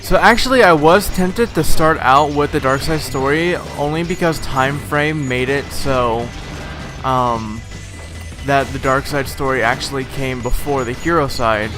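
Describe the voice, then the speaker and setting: A slightly hoarse man giving casual, rambling commentary over a video game playthrough, with frequent filler words. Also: medium pitch, unhurried. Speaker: let's play host. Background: game music.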